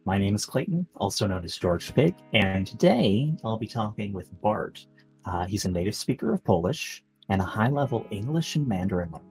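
A man's voice: lowish voice, very animated, normal speed, darkish tone, soft background music, rough recording. A thirty-year-old man hosting a podcast, interviewing in a friendly, joking, upbeat way. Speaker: podcast host.

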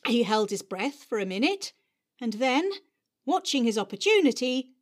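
A woman giving a scripted dramatic reading of literary prose, with theatrical, emphatic delivery and deliberate phrasing. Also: fairly high pitch. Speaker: host and reader of dramatic readings.

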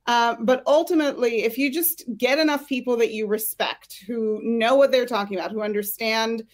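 Higher-pitched woman giving emphatic advice. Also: moderate pace. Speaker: screenwriting career coach and panel guest. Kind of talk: conversational advice on a panel.

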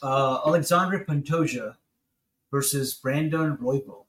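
A man's voice: mid-range voice, unhurried, a bit bright, echoey room. A man hosting a casual sports chat, blunt, joking and swearing freely. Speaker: host.

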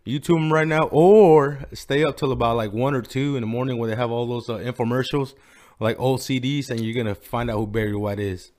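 A young man talks bluntly and swears freely as he co-hosts a loose podcast chat. He drops bits of Spanish slang into his English, and his voice sits at a middle pitch. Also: steady tone, fairly quick, a little mellow. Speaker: podcast co-host. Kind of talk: casual chat.

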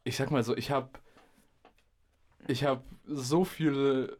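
A young man in a casual podcast conversation, with a medium-pitched voice. He talks in loose slang like a DJ swapping stories, and he likes to act out the remarks he quotes. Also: fairly even intonation, slow, darkish tone. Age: young adult. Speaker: podcast host and DJ. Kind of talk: casual chat, animated storytelling.

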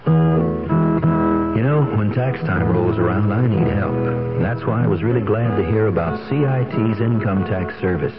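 Dude doing a chatty radio commercial, lowish voice, muffled, guitar music loud behind him. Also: male voice, animated, unhurried. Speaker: ad announcer. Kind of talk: conversational radio commercial pitch.

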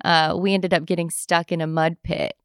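A young adult woman chatting casually, telling animated travel stories and acting out what people said. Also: on the low side, moderate pace, clean tone, no echo, clean studio sound. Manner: animated storyteller, acts out quoted voices. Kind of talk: casual chat.

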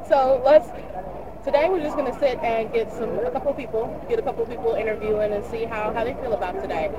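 Upbeat woman vlogger, a little high-voiced, recorded on a dull camera mic over street noise. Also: quick pace. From Texas. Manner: bubbly, upbeat, laughs between phrases. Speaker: vlogger interviewing passers-by. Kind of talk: casual chatty vlog presenting. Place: outdoors on a busy city street.

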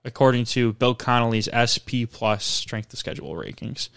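A man's voice: mid-range voice, normal speed, creaky, fairly bright tone, clear, resonant tone. Easygoing young man talking football. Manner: easygoing, defends his side, laughs often. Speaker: sports podcast co-host. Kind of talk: casual sports debate.

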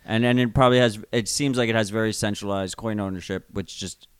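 A man's voice: lowish voice, fairly even intonation, fast talker, smooth, no echo. A man with a New York accent, co-hosting a casual podcast, speaking bluntly and swearing now and then. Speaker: podcast co-host.

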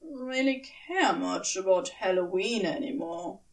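A woman's voice: mid-range voice, lively intonation, unhurried, distant mic, voice pushed down, poor audio. A woman voicing a laid-back male demon with an offhand, drawling, dismissive manner in an audiobook story.

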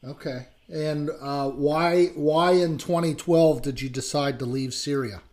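Guy hosting, kinda high voice, easygoing, ums everywhere. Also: a little slow. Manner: relaxed, chatty, many fillers. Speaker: host and college instructor. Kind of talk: casual interview.

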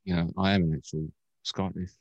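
A man's voice: deep voice, flat delivery, a little fast, vocal fry, no echo, lo-fi sound. An Australian man co-hosting a casual podcast, chatting in a rambling, jokey way that often digresses. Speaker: podcast co-host. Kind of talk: casual chat.

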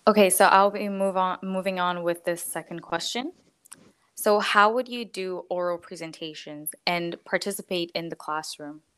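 Woman interviewer, lower voice, smooth and resonant, measured questions. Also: fairly bright tone.

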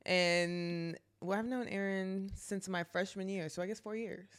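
A woman's voice: medium pitch, fairly even intonation, unhurried, smooth. A woman of twenty-one from Texas, a college basketball player chatting as a podcast guest, hesitant and laid-back, often trailing off. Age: 20s. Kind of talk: casual chat.

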